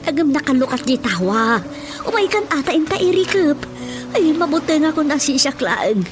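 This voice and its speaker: A woman's voice: high-pitched, very animated, moderate pace. A woman with a Filipino accent playing an anxious, superstitious rural wife and mother in a radio comedy drama. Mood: fretful. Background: music.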